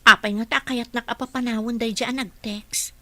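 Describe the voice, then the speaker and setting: A woman's voice: a little high, steady tone, moderate pace, bright, forceful tone, no room sound. A woman playing an attentive, encouraging housekeeper and carer in a radio drama, with an Ilocano Filipino accent. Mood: concerned.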